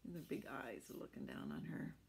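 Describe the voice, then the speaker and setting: A woman's voice: a bass voice, huge pitch swings, a little fast, vocal fry, darkish tone, a little hiss or hum. A middle-aged woman reading a picture book aloud to children, adding chatty explanations and little questions to her listeners. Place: small furnished room at home.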